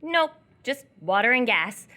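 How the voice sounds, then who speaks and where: A woman's voice: on the high side, very animated, a little slow, sharp tone, heavily acted. A woman playing a laconic but welcoming teenage girl at a rural bar in live radio theatre, with terse, casual talk. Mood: matter-of-fact. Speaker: actor.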